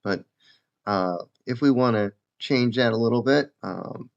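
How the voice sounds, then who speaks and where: A man giving a casual, informal software demo as a developer presenting his own application. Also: mid-range voice, unhurried, gravelly. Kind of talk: casual screen-share demo narration. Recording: dull lo-fi mic.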